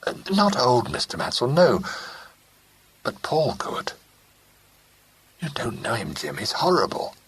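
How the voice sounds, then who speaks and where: A man's voice: mid-range voice, wildly swooping pitch, measured pace, pauses often, fairly bright tone, breathy edge, voice pushed up, heavily acted. A man voicing an anxious young Englishwoman, pleading and urgent.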